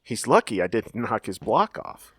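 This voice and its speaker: A man's voice: on the low side, sing-song, moderate pace, a bit bright, slightly rough, no echo, clean studio sound, exaggerated voice. A man playing a scrappy teenage son in an audio drama, cocky yet earnest, talking in an eager, defensive, boyish way. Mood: excited, defensive.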